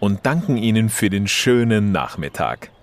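Guy narrator for kids story, lowish voice, super expressive, lots of exclaiming. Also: moderate pace. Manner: theatrical, exclaiming, involved in the action.

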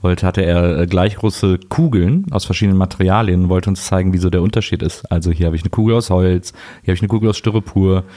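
A man's voice: low voice, fast talker, hardly any pauses, dark tone. A young man co-hosting a podcast, easygoing and jokey, telling stories with plenty of fillers. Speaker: podcast co-host. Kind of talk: casual podcast chat, telling anecdotes.

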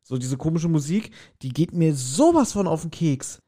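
A man's voice: on the high side, big pitch swings, normal speed, warm tone. A man chatting casually as a podcast host, retelling a story in colloquial, slangy language and acting out quotes. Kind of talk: casual chat, retelling an audio drama.

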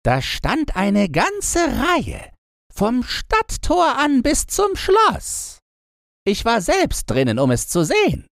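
Storybook crow, played by a male reader: chatty, earnest, very slow and deliberate, close dry sound. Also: extremely high pitch, wildly swooping pitch, gravelly, a bit bright, dry recording, pitched above the performer's own voice, heavily acted. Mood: insistent. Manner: deliberate, drawn-out, storytelling delivery. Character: talkative, earnest crow telling palace gossip. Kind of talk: fairy-tale audiobook character voice.

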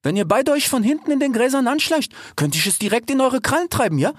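Man putting on a high, slangy female cheetah. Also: sing-song, quick pace, no echo, studio quality, exaggerated voice. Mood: plotting. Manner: slangy, ends phrases with a questioning tag. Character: brash, hungry young female cheetah, sister of two.

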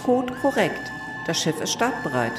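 A woman voicing a calm spaceship computer making announcements in a science fiction audio drama. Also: on the low side, normal speed, over music. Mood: matter-of-fact alert.

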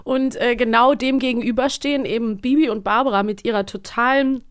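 A woman in her thirties with a fairly high voice. She hosts a podcast in a casual, chatty way, enthusiastic and emphatic about what she discusses. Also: fairly even intonation, fairly quick, clean tone. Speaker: podcast host. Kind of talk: casual chat about radio plays.

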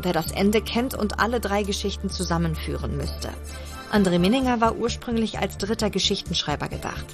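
A woman reading an email aloud as a guest reader. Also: medium pitch.

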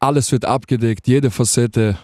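A young man talks casually as an interview guest. His voice is mellow and relaxed, with a soft, dark edge. The sound is dry, as in a studio. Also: mid-range voice, moderate pace, no room sound. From Vienna, Austria. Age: 20s. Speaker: guest rapper being interviewed. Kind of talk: casual radio interview chat.

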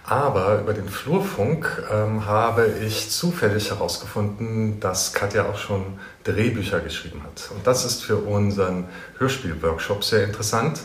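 A man's voice: on the low side, moderate pace, few pauses. A man hosting a casual interview, informal and tentative as he searches for his words. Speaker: interviewer and host. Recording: roomy echo, audible background noise.

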